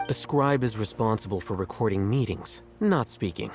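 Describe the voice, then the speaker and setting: A man's voice: lowish voice, moderate pace, music in the background, natural voice. A man playing an aloof, coolly logical young scholar in an anime game, with precise, dry, detached delivery. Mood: dry, pedantic.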